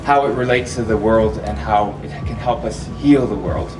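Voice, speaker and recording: A man giving a relaxed, chatty talk at a festival, asking the audience questions and laughing often between points. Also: lowish voice, normal speed, over music. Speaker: festival speaker. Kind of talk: live public talk to an audience. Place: festival venue with a live audience. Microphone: handheld or stand microphone, often held far off. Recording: roomy echo, distant mic, audible hall noise.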